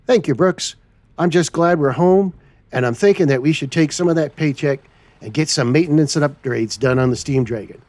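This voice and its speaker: A man hosting a trucking game video, speaking in a relaxed, plain way as he signs off. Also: fairly high pitch, moderate pace, no echo. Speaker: channel host. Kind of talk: role-play sign-off for a trucking game video.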